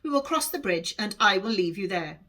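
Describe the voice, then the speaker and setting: A woman voicing a proud, guarded thirteen-year-old maid at a royal court in a storybook, speaking in a brisk, self-important way. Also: mid-range voice, expressive, bright, forceful tone. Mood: brisk, superior.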